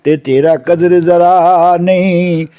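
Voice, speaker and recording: A man's voice: high voice, little pitch movement, dark tone, faint music behind. A man with a Punjabi accent reciting a folk verse tale in a melodic chant, drawing out the ends of his lines. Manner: chanting, melodic, with long drawn-out line endings.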